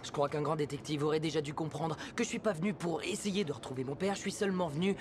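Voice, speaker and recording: Someone playing a fast-talking skeptical young man. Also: mid-range voice, noisy background, close to an everyday voice. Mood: exasperated. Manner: dry, reluctant, exasperated. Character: skeptical, exasperated young man, grieving son. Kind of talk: French fan dub of a film. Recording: music behind the voice.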